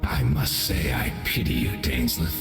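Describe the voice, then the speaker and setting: A man playing a grandiose, menacing abyssal herald in a video game, speaking in measured, theatrical phrases. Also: very deep voice, reverberant, music in the background. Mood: scornful. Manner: grandiose, measured, dramatic pauses. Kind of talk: video game villain acting.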